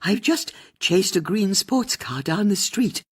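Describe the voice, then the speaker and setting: A man's voice: high voice, animated, slow pace, a bit bright, husky, dry recording, clean studio sound, voice pushed up, heavily acted. A man reading aloud, voicing a flustered elderly woman who is out of breath. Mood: breathless, upset. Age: older. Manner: flustered, fretful.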